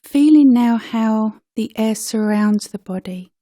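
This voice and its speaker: A woman leading a guided meditation as a teacher, speaking gently and unhurriedly with pauses between short phrases. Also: on the high side, no room sound, studio quality.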